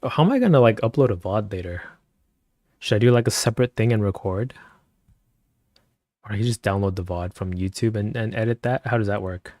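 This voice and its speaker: A man chatting casually with viewers on a live stream, speaking softly and keeping his voice down at night at home, and laughing often. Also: a little fast, pauses often, no room sound, studio quality. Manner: soft-spoken, keeps voice low at night.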